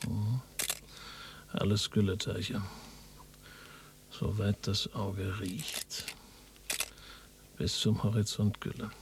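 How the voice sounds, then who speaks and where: A man's voice: fairly low pitch, flat delivery, slow, vocal fry, long pauses, background noise. An actor playing a driven, insistent male radio reporter with a sardonic manner, in a naturalistic radio drama.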